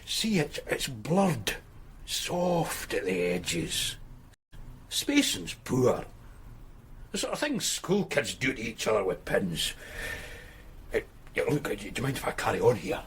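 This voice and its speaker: A man's voice: medium pitch, huge pitch swings, takes it slowly, fairly bright tone, slight background noise, exaggerated voice. An actor playing a blunt, matter-of-fact male tattoo artist with a Glasgow accent. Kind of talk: radio drama acting.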